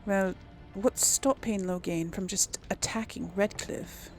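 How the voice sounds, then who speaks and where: A woman's voice: mid-range voice, steady tone, slow, soft background music. A woman hosting a gaming video, role-playing casually and musing aloud in a hesitant way. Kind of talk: casual role-play reactions over a game.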